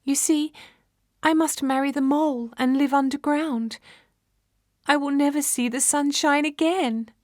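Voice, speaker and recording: A woman's voice: high voice, fairly even intonation, measured pace, a little mellow, no echo, studio quality, voice pushed up. A woman voicing a tiny, sweet, tender-hearted fairy-tale girl who speaks with earnest emotion. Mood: tearful.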